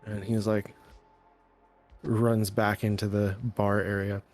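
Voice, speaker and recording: Man running a tabletop game, halting. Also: fairly low pitch, level tone, a little mellow, no echo, soft background music. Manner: halting, full of ums and uhs. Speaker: game master.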